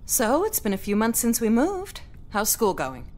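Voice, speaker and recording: A concerned mother with a fairly high, animated voice. She sounds exasperated and gently reproving, in visual novel game style. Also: normal speed. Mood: caring.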